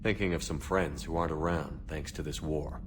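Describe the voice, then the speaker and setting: A man playing a weary, determined military commander in a video game, terse and brisk. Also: very deep voice, fairly even intonation, noisy background. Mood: weary, guarded. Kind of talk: dramatic video game acting.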